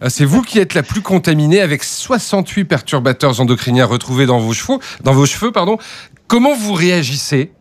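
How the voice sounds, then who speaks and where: A man's voice: a little high, sing-song, a little fast. A man hosting a live radio news interview, hesitating and repeating words as he forms his questions. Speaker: radio host.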